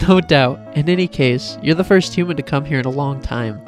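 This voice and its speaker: A man playing a tall motherly female goat creature in a fan drama, soft and matronly, with a genteel, fond way of talking. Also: on the high side, normal speed, lightly acted. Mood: warm, welcoming. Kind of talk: fan fiction character acting. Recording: music behind the voice.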